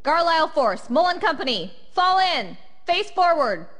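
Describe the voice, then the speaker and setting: Stern female army commander for a game: high, slow, wide-swinging orders in a reverberant hall. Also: very animated, a bit bright, exaggerated voice. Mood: commanding. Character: commanding female military officer barking drill orders. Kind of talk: video game voice acting.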